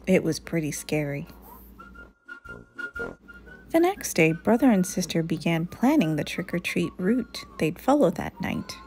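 A woman reading a children's picture book aloud in a deliberate, animated storytelling manner. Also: fairly low pitch, faint music behind. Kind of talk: expressive read-aloud narration.

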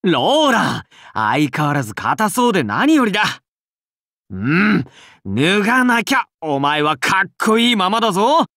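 A breezy young paladin king from a video game. He banters casually and warmly with a very lively pitch, recorded dry and close. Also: unhurried, no room sound, full character voice. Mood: breezy, friendly. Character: breezy, friendly young male paladin king, cool and teasing. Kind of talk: scripted game character dialogue.

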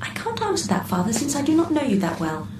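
Poised AI, echoey and distant. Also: female voice, sits high, wildly swooping pitch. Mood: measured, precise. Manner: formal, measured, precise phrasing. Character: poised, precise, enigmatic artificial intelligence. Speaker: actor in a radio drama. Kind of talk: radio drama acting. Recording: echoey, distant voice with background noise.

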